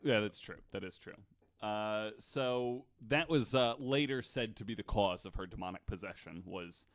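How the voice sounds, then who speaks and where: A man's voice: on the low side, moderate pace, no room sound. A man hosting a podcast, casually retelling stories with wry joking asides. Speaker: podcast host.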